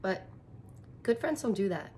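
A woman in her thirties reads a picture book aloud to young children and comments on it in simple, expressive, wonder-filled phrases. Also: mid-range voice, a little slow, slight background noise. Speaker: teacher reading aloud to children. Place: small indoor room, curtained corner.

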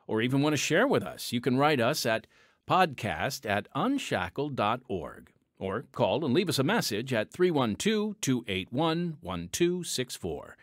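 Male host for ministry radio announcements, animated intonation, dry close studio sound. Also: mid-range voice, unhurried, dry recording. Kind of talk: scripted radio ministry announcements, partly ad-libbed.

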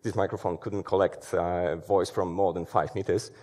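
A man's voice: sits low, fairly even intonation, moderate pace, mellow. Man, 30s, conference speaker, chatty with fillers, big auditorium. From Poland. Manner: conversational, many fillers and restarts. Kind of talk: conference talk. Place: large dark auditorium stage.

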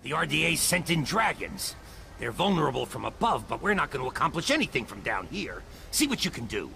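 Gravelly, animated ally giving briefings. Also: male voice, a little high, brisk, slight background noise, exaggerated voice. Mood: urgent. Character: seasoned, cautioning male guide and ally. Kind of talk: video game cutscene acting.

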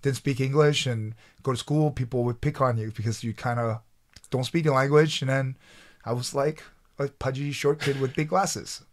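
Animated man in his thirties telling stories. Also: medium pitch. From Taiwan, raised in Canada from age nine. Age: 30s. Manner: animated, halting with many restarts. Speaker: guest, pro athlete. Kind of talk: storytelling in an interview. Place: small studio with backdrop. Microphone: desk mic on boom arm.

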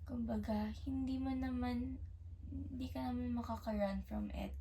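A woman's voice: on the high side, fairly even intonation, normal speed, vocal fry, warm tone, far from the mic, a little hiss or hum. A young woman from Canada, a working student, answering interview questions in a hesitant way with many fillers and restarts, mixing English and Tagalog. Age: young adult.

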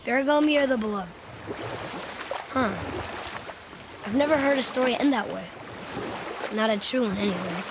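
A man's voice: extremely high pitch, moderate pace, pauses often, background noise. A male actor playing a curious, eager young boy in a video game, earnest and inquisitive. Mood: thoughtful.

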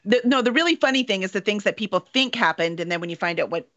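A woman's voice: mid-range voice, expressive, quick pace, a bit bright. A middle-aged woman hosting a casual interview over a video call, chatty and joking. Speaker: interview host, author. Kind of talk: casual chat. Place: room at home. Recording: dull lo-fi video call audio.

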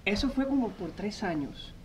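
A man, a magazine editor telling his story as a guest in a TV studio interview, animated and full of "eh" fillers, speaking into a lapel mic. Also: high voice, normal speed, slight background noise. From Colombia. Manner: animated storytelling, frequent "eh" fillers, gestures while talking. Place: TV news studio set.

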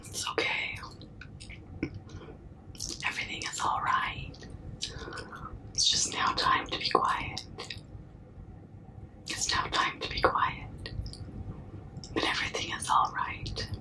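Young woman doing asmr, breathy whisper, super slow n soothing, right up close on the mic. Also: recorded from a distance, low-quality recording. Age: young adult. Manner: slow, hushing, soothing, repetitive. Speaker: ASMR performer. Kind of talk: ASMR roleplay, whispered comforting. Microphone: close mic, very near the camera.

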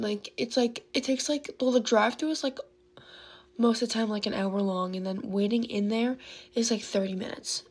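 Chatty young woman with a gravelly voice. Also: a little high, slight background noise. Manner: rambling, heavy use of "like", chatty. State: losing her voice. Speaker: beauty vlogger. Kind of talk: casual get-ready-with-me chat. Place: bedroom at a vanity desk, indoors. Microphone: phone camera close in front.

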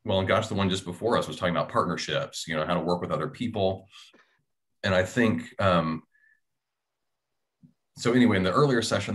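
Man, very low voice, thoughtful conversational delivery, panel discussion, video call. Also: fairly bright tone. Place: small indoor room at home.

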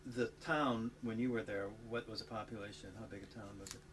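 Low flat-voiced male interviewer. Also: a little fast, noisy background. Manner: hesitant, prompting with short questions. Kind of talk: oral history interview.

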